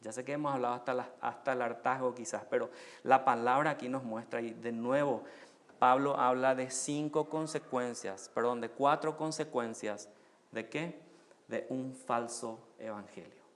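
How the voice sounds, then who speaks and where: A man from Paraguay with a Paraguayan Spanish accent, preaching a sermon as pastor, asking rhetorical questions and rising loud on key phrases. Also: medium pitch, fairly even intonation, moderate pace.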